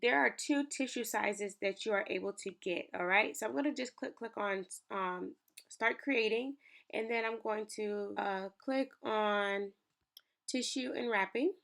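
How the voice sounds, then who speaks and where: A woman's voice: medium pitch, measured pace, a bit bright. A young woman, chatting upbeat with viewers as she walks through a design tutorial on her screen. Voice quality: raspy. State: raspy from early morning. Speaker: small business owner and lifestyle creator. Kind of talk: screen-share tutorial walkthrough.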